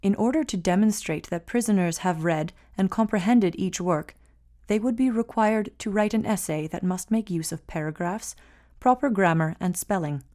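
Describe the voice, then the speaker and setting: A woman reading a scripted news report in an even, formal way. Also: mid-range voice, normal speed. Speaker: news reader.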